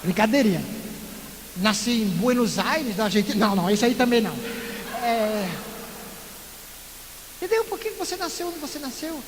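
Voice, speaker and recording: High-voiced pastor preaching in an echoey church. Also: male voice, moderate pace, pauses often. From Recife, northeastern Brazil. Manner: lively preaching full of rhetorical questions and playful asides. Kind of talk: sermon. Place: church sanctuary. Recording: echoey church hall with loud hiss.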